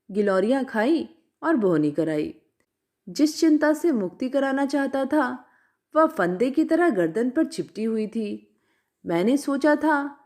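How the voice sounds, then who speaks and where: A woman narrates a literary short story. Her voice sits on the high side and moves with a very lively melody. The reading is scripted and expressive, shaped as storytelling.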